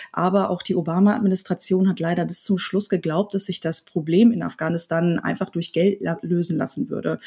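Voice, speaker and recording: Slightly husky female book reviewer. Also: lowish voice, dark tone. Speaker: book reviewer, studio guest. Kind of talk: explanatory interview talk.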